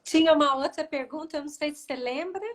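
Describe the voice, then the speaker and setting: High-voiced Brazilian woman host. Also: quick pace. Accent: Brazilian Portuguese. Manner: brief, agreeable backchannels and framing questions. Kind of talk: live video chat, reading viewer questions. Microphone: video call.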